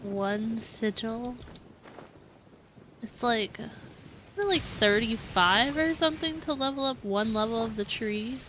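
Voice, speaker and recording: Girl streaming a game, casual muttering commentary, highish voice, bit of noise behind her. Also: slow pace, long pauses, pushed and bright.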